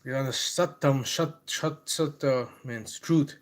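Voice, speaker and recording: A man's voice: medium pitch, slow. Rambling, laughing Bengali-accented man. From Bangladesh. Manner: rambling, irreverent, laughs between thoughts; reads passages aloud in a louder, declaiming voice. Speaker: host reading and reviewing a book. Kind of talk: casual livestream book talk.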